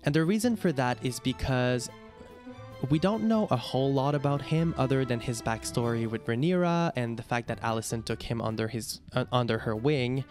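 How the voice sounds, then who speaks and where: A man's voice: medium pitch, moderate pace, darkish tone, soft background music. A man from Quebec whose first language is French, speaking English with a Québécois French accent as a YouTube host, talking casually and hesitantly with many fillers. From Quebec, Canada. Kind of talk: casual ranking commentary.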